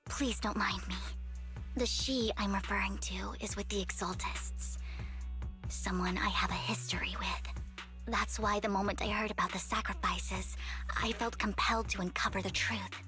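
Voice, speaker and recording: Actress voicing a devoted young maid, formal, soft-spoken, game dialogue, bright tone. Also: female voice, medium pitch, fairly even intonation, moderate pace, soft background music, natural voice. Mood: hushed, earnest. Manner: formal and courteous, gushing toward her lord. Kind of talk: video game voice acting.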